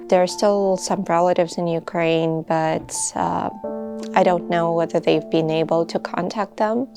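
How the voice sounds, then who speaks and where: A young woman from Russia in her twenties, answering interview questions in an earnest, hesitant way with many pauses and fillers, recorded outdoors on a campus. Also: on the low side, vocal fry. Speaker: master's student speaking about a protest.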